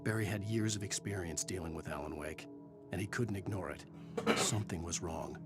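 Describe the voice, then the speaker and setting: Brooding novelist narrator, very deep voice, grim measured delivery, game music loud behind. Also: male voice. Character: brooding male novelist narrating his ordeal.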